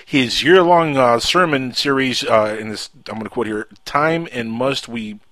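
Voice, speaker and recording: A man with a Cuban accent hosts a casual radio show, jumping from low, slow musing to loud excited outbursts. His voice sits in a middle pitch and sounds dry and close to the mic. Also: dry recording. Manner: animated, quick to jump to loud outbursts.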